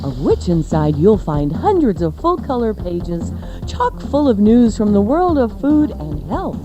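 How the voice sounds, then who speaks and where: A woman's voice: mid-range voice, lo-fi sound. A woman announcer giving an upbeat commercial read for radio.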